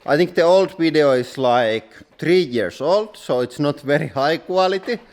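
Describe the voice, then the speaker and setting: Finnish-accented man, non-native English, halting and casual; echoey hall with long reverb. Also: on the high side, animated, darkish tone. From Finland. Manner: plain halting English with many "like" fillers. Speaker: workshop owner and channel host. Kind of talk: casual workshop tour. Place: large industrial workshop hall.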